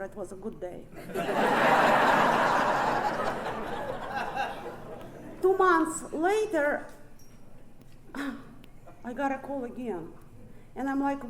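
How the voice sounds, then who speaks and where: Candid Russian-accented woman speaker, slightly high. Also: slight background noise. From born in Ukraine, raised in Siberia. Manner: candid, profane, laughs often. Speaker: recovery meeting main speaker. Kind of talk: personal recovery testimony speech. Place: meeting room with audience.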